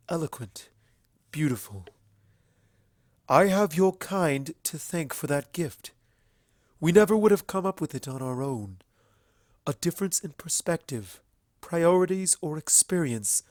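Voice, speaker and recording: A man voicing an ancient female pony princess in a storybook reading, speaking formally and with measured, earnest eloquence. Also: a little high, lively intonation, a little mellow, no echo, studio quality. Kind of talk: fan fiction audiobook character acting.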